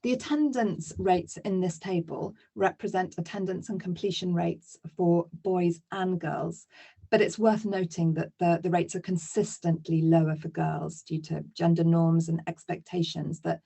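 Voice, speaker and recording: A woman presents a webinar lesson in a lowish, mellow voice with a gravelly, husky grain. She explains steadily, with the odd filler. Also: fairly even intonation, unhurried.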